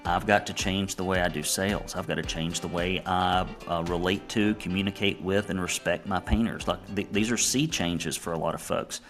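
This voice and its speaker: A man's voice: low-pitched, fairly even intonation, moderate pace, few pauses, over music. A middle-aged man with a Southern US accent, talking conversationally as an interview guest and telling stories about his work. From Alabama, United States. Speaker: guest, business coach and painting academy founder.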